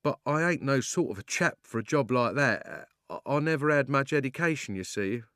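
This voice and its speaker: A man's voice: medium pitch, lively intonation, moderate pace, bright, forceful tone, smooth, dry recording, studio quality, voice pushed up. A man reading a storybook, voicing a humble, earnest male London cabman with a Cockney accent, deferential and plain-spoken.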